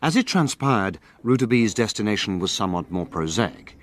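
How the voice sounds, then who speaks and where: An actor playing a measured gentleman narrator, recounting a detective adventure in dramatic first person. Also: on the low side, big pitch swings.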